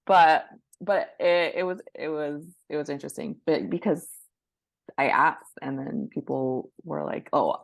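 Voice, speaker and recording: Low-pitched woman giving casual interview advice. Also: measured pace, creaky, no echo. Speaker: podcast guest, book marketing professional.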